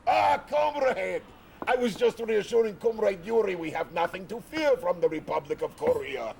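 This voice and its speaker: Casting: a blustering jovial premier, gravelly, slow theatrical lines, slightly high voice. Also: male voice, expressive, a little mellow. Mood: hearty. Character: blustering older male Soviet premier, jovial. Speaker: Soviet premier. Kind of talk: full-motion video game cutscene.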